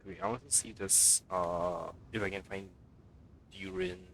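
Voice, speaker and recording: A man's voice: deep voice, sing-song, measured pace, vocal fry, a bit bright, a little hiss or hum, rough recording. A man giving casual live commentary as he plays a game, musing aloud and reacting. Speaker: game streamer.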